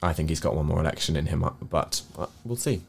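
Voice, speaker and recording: A man's voice: a bass voice, quick pace, gravelly, breathy edge. A man with an English accent co-hosting a news review, explaining his opinions in an earnest, conversational way with careful qualifications. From England. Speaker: co-host of a news review show. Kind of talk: conversational political discussion.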